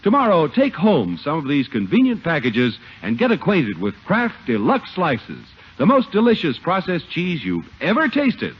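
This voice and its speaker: A man's voice: fairly high pitch, wildly swooping pitch, moderate pace, hardly any pauses. A man announcing and narrating a 1950s radio show in scripted style. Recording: muffled old radio recording, loud music.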